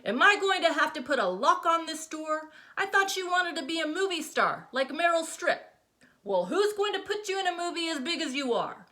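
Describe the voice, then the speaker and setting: A woman's voice: very high voice, sing-song, normal speed, pushed and bright, pitched above the performer's own voice, heavily acted. A woman voicing an exasperated, protective middle-aged mother who scolds sharply and with rising emphasis. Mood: scolding.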